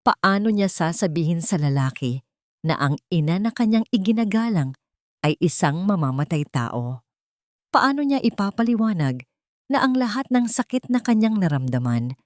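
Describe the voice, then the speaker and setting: A woman's voice: on the low side, a little mellow, dry recording, clean studio sound. A woman narrator reading a scripted story in a dramatic style.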